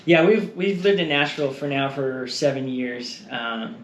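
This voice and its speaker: A man's voice: mid-range voice, expressive, unhurried, highs cut off. A man from Texas, a guest in a casual interview, telling stories in a rambling way with many fillers and frequent laughs. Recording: echoey room with faint noise.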